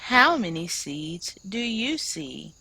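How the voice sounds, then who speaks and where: A woman's voice: on the low side, big pitch swings, takes it slowly, gravelly, bright, forceful tone, breathy edge, no echo, full character voice. A woman reading aloud a kindly male farmer in a children's storybook, explaining things patiently and encouragingly.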